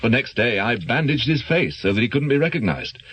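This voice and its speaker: An actor playing an evasive, anxious doctor with a British accent in a radio drama, speaking formally and hesitantly. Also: male voice, mid-range voice, very animated, fairly quick, a bit bright, slight background noise. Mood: confessional, strained. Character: evasive, anxious male doctor with a gambling past.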